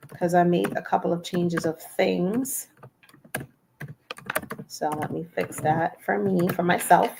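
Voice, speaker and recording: A woman's voice: lowish voice, gravelly, darkish tone, a little hiss or hum. A woman hosting a casual livestream, with a nasal, congested-sounding voice, talking in an earnest, encouraging way and often repeating herself. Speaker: livestream host.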